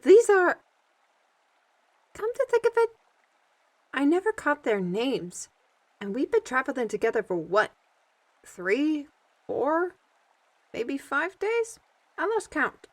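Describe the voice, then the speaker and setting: Woman playing a sardonic, higher-voiced fantasy squire. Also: sing-song, takes it slowly, pauses often, exaggerated voice. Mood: flustered. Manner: wry, informal. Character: sardonic, scrappy female squire, quick to quip. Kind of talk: fantasy audio drama acting.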